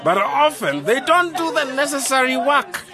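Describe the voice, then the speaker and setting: High-pitched, smooth-talking schemer. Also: male voice, very animated, moderate pace, full character voice. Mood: animated. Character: smooth-talking, excitable ex-ministry man offering funding tips. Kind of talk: radio drama dialogue. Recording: music and party crowd behind.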